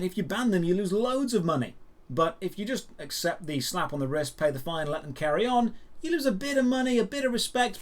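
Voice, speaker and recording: A male online news host with a voice pitched a little higher than most men. He delivers geek news as opinionated commentary. His delivery is conversational and emphatic, with rhetorical asides to the viewer. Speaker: YouTube host presenting geek and gaming news.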